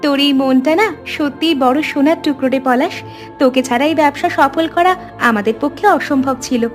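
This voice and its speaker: A woman's voice: high-pitched, brisk, faint music behind, lightly acted. A woman voicing a friendly, enterprising village housewife, coaxing and familiar, in cartoon dialogue. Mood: appreciative. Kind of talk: animated story dialogue.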